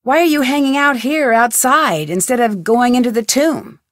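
Casting: a woman playing a sharp, inquisitive young detective, fairly high voice, dry studio sound, wry and probing. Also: moderate pace, no room sound, lightly acted. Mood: curious. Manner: inquisitive, questioning, dry wit. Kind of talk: video game voice acting.

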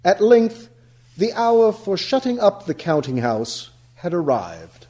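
A man narrating a radio play as a dramatic storyteller, with deliberate phrasing. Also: sits high, big pitch swings, a little mellow, slightly rough, full character voice. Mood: grave, dramatic.

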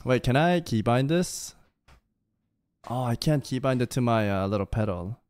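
A man's voice: mid-range voice, moderate pace, no echo. A young man streaming a game, chatting and teasing his group in casual voice chat, speaking close to a studio mic at home. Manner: playful, teasing, jumpy and animated. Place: indoor home streaming room. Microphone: studio mic on a boom arm, close.

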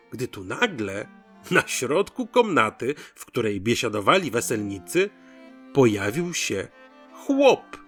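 A man narrating a folk tale as host, in an expressive, theatrical storytelling style. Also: fairly high pitch.

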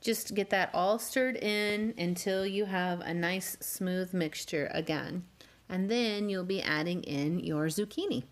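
A woman hosting a home cooking channel, walking through a cake recipe step by step with gently wry asides. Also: fairly low pitch, measured pace. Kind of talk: calm step-by-step baking instruction. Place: home kitchen.

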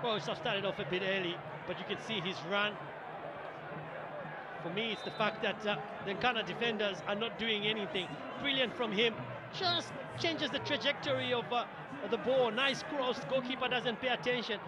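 A man's voice: high-pitched, moderate pace, heavy background noise. A man giving emphatic analytical color commentary on a football match. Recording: broadcast commentary over loud stadium crowd.

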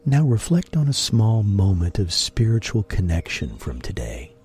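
A man guiding a sleep meditation in a gentle, deliberate, breathy voice with long pauses. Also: fairly low pitch, wildly swooping pitch. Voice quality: husky, breathy. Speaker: meditation guide and host. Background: music.

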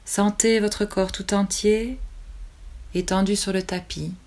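A woman leading a guided relaxation as a yoga nidra teacher, calmly and soothingly, with long pauses between short phrases. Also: medium pitch.

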